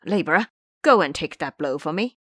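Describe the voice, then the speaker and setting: A woman's voice: low voice, lively intonation, normal speed, a bit bright, no room sound, studio quality. A woman voicing a fearful, grumbling old male abbot in an audio drama, bossy and querulous with his underlings. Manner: querulous, scolding.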